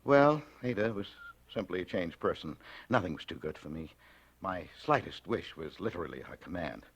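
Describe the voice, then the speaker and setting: A man's voice: low voice, animated, normal speed, husky, heavily acted. An actor playing a timid, flustered, henpecked husband in 1940s radio drama, hesitant and stammering as he talks. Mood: contented. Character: timid, henpecked, nervous husband telling his misadventure. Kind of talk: 1940s radio drama acting, first-person narration and dialogue. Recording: vintage radio recording, faint music.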